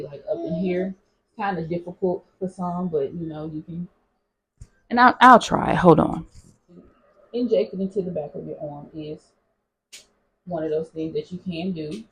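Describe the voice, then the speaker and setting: A nurse chatting casually with her viewers in a how-to vlog, talking straight to them as y'all, her voice hoarse. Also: sits low, darkish tone, low-quality recording. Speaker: nurse sharing her own experience.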